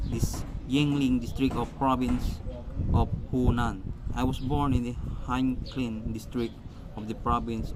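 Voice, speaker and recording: A man playing a self-important scholarly magistrate in an amateur radio drama, speaking formally and haltingly. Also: medium pitch, natural voice. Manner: halting, stumbling delivery with formal wording. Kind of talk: amateur stage-style radio drama reading. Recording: noisy amateur recording with steady background noise.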